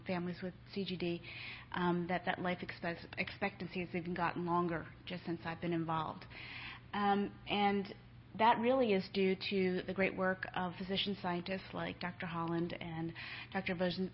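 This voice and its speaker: A woman's voice: fairly low pitch, a little hiss or hum. Middle-aged woman nurse giving a talk. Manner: conversational, many filler ums. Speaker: nurse speaker. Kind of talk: conference talk to families. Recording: dull lo-fi lecture recording.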